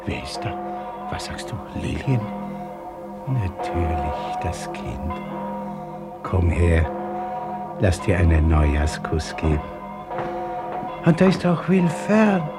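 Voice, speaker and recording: Deep, halting old father. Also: sing-song, over loud music, heavily acted. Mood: joyful, moved. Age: older. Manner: halting, wondering, tender. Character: bewildered, tender old father waking from a nightmare. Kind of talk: 1950s German radio play acting.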